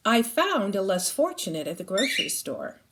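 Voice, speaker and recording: A woman's voice: medium pitch, big pitch swings, normal speed, a bit bright, slight background noise. A woman voicing an earnest young girl in a children's storybook, coaxing politely as she pleads. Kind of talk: children's storybook read-aloud.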